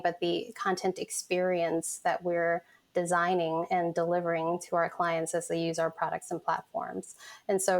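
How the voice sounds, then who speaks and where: Chatty reflective woman in her forties. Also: fairly low pitch. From San Francisco, United States. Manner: reflective, chatty storytelling with frequent "you know" fillers. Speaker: guest, content design manager. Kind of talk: casual podcast interview.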